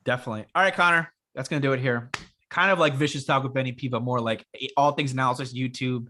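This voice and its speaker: A young man co-hosting a casual fantasy football show, his voice raspy from being sick, talking in a relaxed, rambling way with many fillers. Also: medium pitch, fast talker, slight background noise. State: raspy after illness. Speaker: podcast co-host. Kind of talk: casual sports chat.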